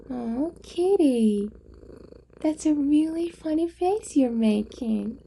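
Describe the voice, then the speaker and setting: A woman performs a possessive female owner in an ASMR roleplay, coaxing and teasing a captive pet. Her voice is very dark and mellow, with heavy creak, and often drops toward a whisper. She takes her time, sounding condescending and doting at once. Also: high voice, expressive, takes it slowly, slight background noise, exaggerated voice. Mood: doting, domineering.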